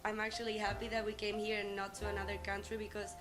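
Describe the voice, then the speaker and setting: A young woman with a Spanish accent gives casual interview answers as an exchange student. Her pitch is middling and her intonation very flat. Music is clearly audible behind her. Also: fast. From Spain.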